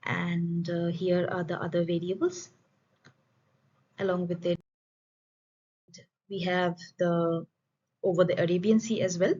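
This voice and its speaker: A woman gives an academic conference presentation as a researcher, in a formal, explanatory manner with halting phrasing. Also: fairly low pitch, level tone, a little mellow, husky. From India. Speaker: student researcher presenting a study. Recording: dull, lo-fi voice recording.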